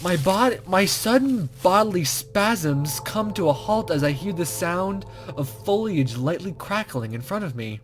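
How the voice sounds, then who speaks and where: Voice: medium pitch, animated, measured pace, hardly any pauses. A let's play host with a chatty, self-deprecating manner, commenting casually on a game and reading its text aloud.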